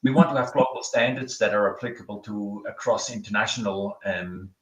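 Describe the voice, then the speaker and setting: A middle-aged man from Switzerland with a Swiss accent, giving a conference talk over a video call in a relaxed, halting way with many ums and restarts. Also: lowish voice, muffled recording. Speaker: keynote speaker. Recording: echoey room, from far off.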